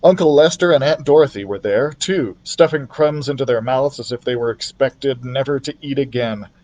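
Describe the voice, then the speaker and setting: A man's voice: mid-range voice, normal speed, a little mellow. A man narrating a children's story expressively, as a library storyteller.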